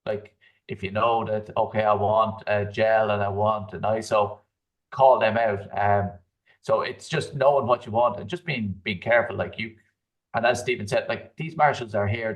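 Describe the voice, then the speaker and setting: A man who is a triathlete and guest coach, giving relaxed advice full of "like" and "um". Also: fairly low pitch, normal speed, distant mic, muffled recording. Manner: relaxed, fillers like "like" and "um". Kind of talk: casual advice chat.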